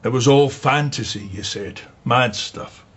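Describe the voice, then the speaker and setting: An actor playing a plain-spoken, dismissive Scottish office colleague in a naturalistic radio drama. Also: male voice, medium pitch, measured pace, a bit bright, slightly rough. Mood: matter-of-fact. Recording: dull, muffled sound.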